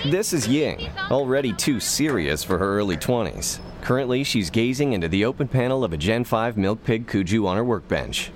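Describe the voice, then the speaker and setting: A man's voice: fairly low pitch, lively intonation, normal speed, hardly any pauses, close to an everyday voice. A man playing a wry, tongue-in-cheek narrator of scripted sci-fi comedy, with theatrical flair and conversational asides. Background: music.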